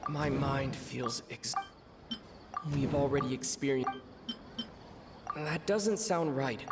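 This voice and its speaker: A man voicing a pensive young traveler in an anime video game, musing in a deliberate, hesitant way. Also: medium pitch, over loud music. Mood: pondering. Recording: long reverb, clearly noisy game audio.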